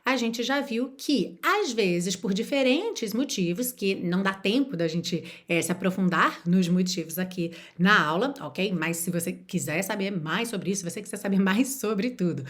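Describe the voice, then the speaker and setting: Lively woman teaching online. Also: medium pitch. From Rio de Janeiro, Brazil. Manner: slows right down to model and repeat example phrases; encouraging, speaks straight to the viewer. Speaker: language teacher. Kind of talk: online video lesson.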